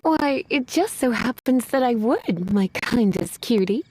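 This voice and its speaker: A woman's voice: on the high side, animated, unhurried, darkish tone, a little hiss or hum, rough recording. A woman voicing a sultry, teasing librarian with a menacing streak in an anime game, speaking in a coy, languid manner. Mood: teasing, flirtatious. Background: faint music.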